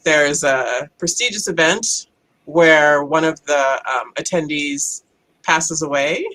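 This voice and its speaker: A woman pitching casually as a game contestant, with a somewhat halting, hesitant delivery. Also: fairly bright tone, low-quality recording.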